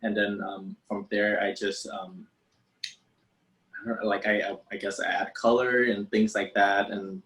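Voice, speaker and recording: A man's voice: lowish voice, flat delivery, unhurried, a bit bright, rough recording. A man from Vietnam, a special effects artist hesitantly answering interview questions and searching for words.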